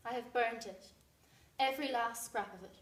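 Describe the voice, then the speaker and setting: A woman's voice: on the high side, normal speed, natural voice. A woman playing a cool, discontented young wife in a stage drama, speaking in a curt, controlled, disdainful way. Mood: defiant, cold. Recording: noisy, echoey room, distant microphone.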